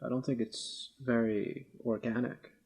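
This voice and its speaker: A man's voice: mid-range voice, level tone, a little slow, a little mellow, breathy edge. A man debating politics informally as a podcast co-host, speaking in a hesitant, wordy way with formal vocabulary.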